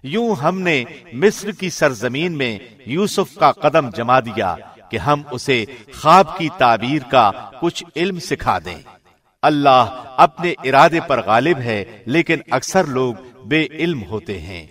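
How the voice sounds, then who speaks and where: A man's voice: mid-range voice, lively intonation, fairly bright tone. A man reading a scripted translation of scripture as a professional radio presenter. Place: studio.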